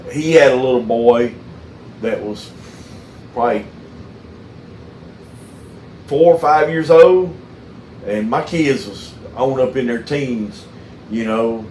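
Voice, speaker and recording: A middle-aged man with a Southern US accent, chatting casually and telling stories in a folksy, halting way. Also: medium pitch, darkish tone, reverberant, slight background noise, low-quality recording. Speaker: mechanic and channel host. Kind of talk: casual phone chat with storytelling.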